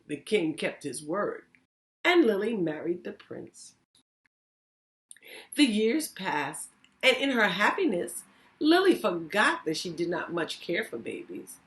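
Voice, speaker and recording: A woman hosting storytime, reading a children's story aloud with expressive, deliberate phrasing. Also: lowish voice. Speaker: storytime host, reading teacher.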